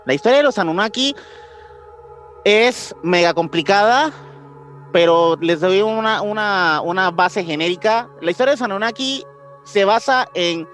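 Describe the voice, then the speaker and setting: A man's voice: high voice, normal speed, fairly bright tone. A man with a Puerto Rican accent hosting a podcast, explaining things informally with slang and repeated phrases. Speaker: podcast host. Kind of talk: casual podcast talk with explanations. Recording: music playing behind the voice. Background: music.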